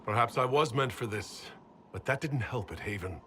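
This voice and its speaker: An actor playing a weary, doubting heroic leader in a game, earnest and grave. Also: male voice, mid-range voice, moderate pace, sharp tone, slight background noise, lightly acted. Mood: weary, troubled. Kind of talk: dramatic game dialogue.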